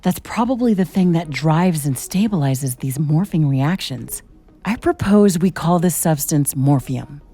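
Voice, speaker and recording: A curious, determined female scientist-commando in a video game. Her voice is on the low side and mellow, with earnest, articulate delivery over faint music. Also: lively intonation, a little slow, breathy edge, full character voice. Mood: analytical. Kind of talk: scripted video game dialogue.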